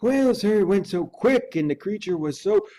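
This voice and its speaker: An anxious Victorian butler, acted out by a man reading aloud, pitched high for a man. He is earnest and deferential, with urgent, plain-spoken phrasing from a classic novel reading. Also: expressive, normal speed, mellow. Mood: uneasy, insistent. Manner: urgent, folksy, dramatic servant's speech.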